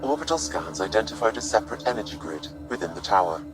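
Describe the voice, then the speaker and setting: A man playing a calm artificial intelligence in a video game, measured, precise and formal. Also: fairly low pitch, music in the background. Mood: calm, informative. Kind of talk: video game cutscene acting.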